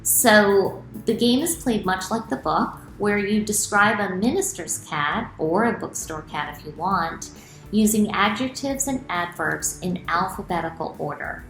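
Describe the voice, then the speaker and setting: Middle-aged lady doing kids storytime, expressive, echoey home room, faint music. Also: medium pitch. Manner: expressive, clearly addressed to children. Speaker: children's author reading aloud. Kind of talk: children's storytime read-aloud and explanation. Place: small room at home, indoors.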